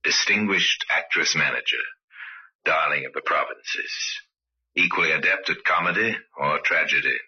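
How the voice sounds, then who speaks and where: A man's voice: rumbling low, normal speed, breathy edge. A man acting as the grave narrator of an old-time radio crime drama, telling it in terse, dramatic phrases. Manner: terse, dramatic storyteller delivery. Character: grave male storyteller of a murder case. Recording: dull old radio recording with music.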